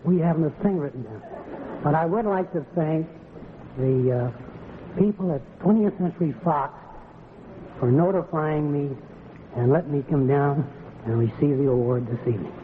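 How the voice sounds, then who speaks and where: A man giving an informal, unscripted acceptance speech in a folksy, halting way. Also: a little high. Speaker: award winner. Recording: noisy, tinny vintage radio broadcast.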